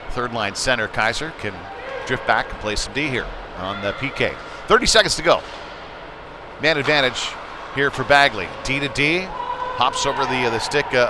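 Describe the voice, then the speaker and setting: A man doing live play-by-play commentary of youth hockey in a conversational way that can rise into excitement on big plays. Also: mid-range voice. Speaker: play-by-play sports broadcaster. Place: ice rink, live broadcast. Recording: noisy arena ambience behind the voice.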